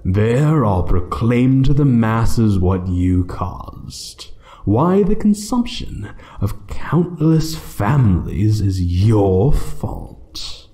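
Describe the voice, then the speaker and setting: Ancient male dragon, acted by a man for fantasy ASMR: low, dark voice, condescending menace, toys with his prey. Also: very animated, heavily acted. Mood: hungry threat. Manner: slow, taunting, condescending, dropping into whispers. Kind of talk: one-sided ASMR roleplay acting.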